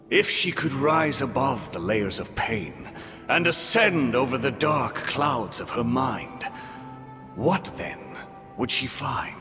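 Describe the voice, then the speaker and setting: An actor playing a brooding, grandiose philosophical villain in a video game, delivering a theatrical, measured monologue. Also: male voice, medium pitch. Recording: long reverb, loud music behind.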